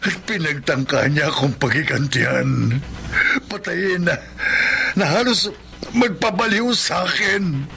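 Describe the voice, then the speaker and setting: Haunted old Filipino man in his sixties, gravelly, fairly high voice, anguished halting melodrama, noisy background. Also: lively intonation, pushed and bright, exaggerated voice. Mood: anguished, confessing. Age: 60s. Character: guilt-ridden rich old man, haunted and fearful. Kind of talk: radio horror drama acting.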